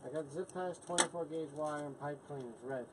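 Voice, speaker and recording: A man's voice: on the high side, fairly quick. Plainspoken older man reading out comments. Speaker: off-camera helper reading viewer comments. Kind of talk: casual live chat, reading out comments. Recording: faint room noise.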